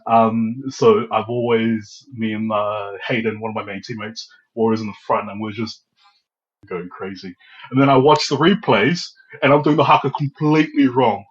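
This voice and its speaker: Man, young adult, from New Zealand, podcast guest, animated storyteller, breathy low voice, dull lo-fi sound. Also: moderate pace. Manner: lively storyteller who acts out his own quotes. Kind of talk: casual storytelling interview.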